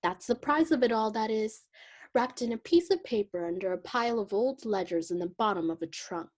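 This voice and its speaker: A woman's voice: fairly high pitch, animated, a little fast. Young woman playing a brazen, greedy scavenger woman. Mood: scornful, gloating. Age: young adult. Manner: brash, unashamed, gossipy. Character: brazen, greedy lower-class woman selling a dead man's things. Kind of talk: theatrical radio play acting.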